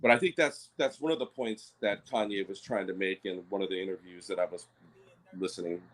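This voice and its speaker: A man's voice: deep voice, steady tone, fairly quick, a bit bright, poor audio. A Native Hawaiian man talking things through on a podcast, hesitant, with many fillers and restarts. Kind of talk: casual discussion.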